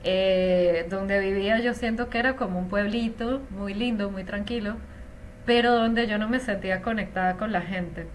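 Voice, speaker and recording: A woman's voice: medium pitch, fairly even intonation, moderate pace, a little hiss or hum. Chatty Venezuelan woman in her thirties. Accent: Venezuelan Spanish. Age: 30s. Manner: chatty, reflective, frequent fillers. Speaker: interview guest, Spanish teacher. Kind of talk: casual conversational interview.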